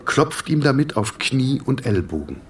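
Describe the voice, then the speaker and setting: A man reading scripted literary narration for a radio drama in a measured, sober manner. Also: medium pitch, big pitch swings, distant mic, a little hiss or hum.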